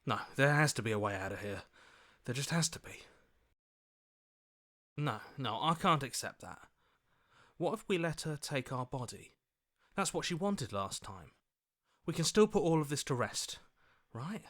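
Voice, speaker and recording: An earnest, hopeful hero's voice from a video game. His pitch is middling but moves widely as he questions and pleads. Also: big pitch swings, unhurried, long pauses, fairly bright tone. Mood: pleading, hopeful. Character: earnest, hopeful male hero, an inner voice.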